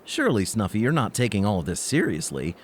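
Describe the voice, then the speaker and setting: Man acting animated, pedantic skeptical sidekick. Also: fairly low pitch, fast, a little hiss or hum. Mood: dismissive. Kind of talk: comedic audio drama acting.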